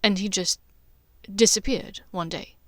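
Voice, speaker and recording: A woman voicing a reserved, inquisitive young wizard in an audiobook, measured and probing. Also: medium pitch, a bit bright, no echo, close to an everyday voice. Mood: curious, probing. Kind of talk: audiobook character dialogue.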